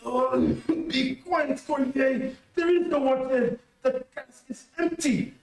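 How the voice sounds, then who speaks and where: Radio mystery convict male actor: sneering, callous, very high gravelly voice, roomy sound. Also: slow, full character voice. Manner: sneering, dismissive. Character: scornful, skeptical male escaped convict, nervy and callous. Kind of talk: old-time radio mystery acting. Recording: roomy, distant amateur stream audio.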